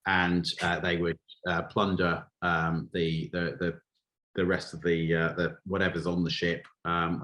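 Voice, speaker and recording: A man's voice: low-pitched, fairly bright tone. A man from Wales answering interview questions as a film director, speaking hesitantly and searching for his words.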